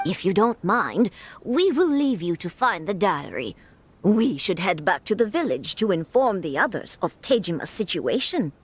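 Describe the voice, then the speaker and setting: An actress playing a kindly, helpful village woman in a game dub, speaking in a gentle, polite way. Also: medium pitch, big pitch swings, moderate pace, background noise, lightly acted.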